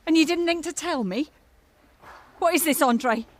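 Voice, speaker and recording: Audio drama role: brash, world-weary female singer acted by a woman, high-pitched, swears freely, quick to flare up. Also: natural voice. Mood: furious, accusing. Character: hot-tempered, foul-mouthed, world-weary French cabaret singer.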